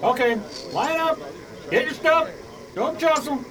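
A man playing a gruff military major giving orders in a science fiction radio drama. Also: very high-pitched, measured pace, noisy background. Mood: commanding.

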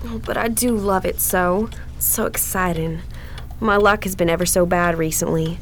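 A woman's voice: fairly low pitch, moderate pace, a little hiss or hum, natural voice. An actress playing a frightened, sugary Southern married woman in a radio drama, with a folksy, hesitant way of talking. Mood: wistful, sweet. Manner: fluttery, folksy, hesitant stammer. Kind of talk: old-time radio drama acting.

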